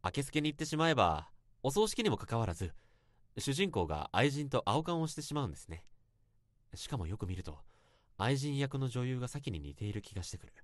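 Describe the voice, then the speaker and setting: Radio drama lead: male actor as awkward, earnest young man, polite confessional monologue, animated intonation, dry studio sound. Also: medium pitch, dry recording, close to an everyday voice. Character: self-conscious young man confessing an awkward romantic memory.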